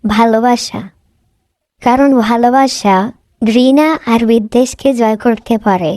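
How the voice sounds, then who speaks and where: Resolute young daughter , a woman voicing her: mellow, slightly high, dry studio. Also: unhurried, no room sound, lightly acted. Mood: calm. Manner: calm, earnest, resolute. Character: wise, brave young woodcutter's daughter.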